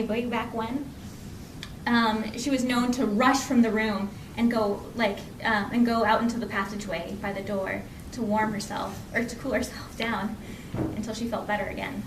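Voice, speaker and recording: A woman telling a folk tale live in an expressive, conversational way, lingering on repeated phrases. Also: a little high, normal speed. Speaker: storyteller. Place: indoor library program before an audience. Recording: echoey room from far off, faint noise.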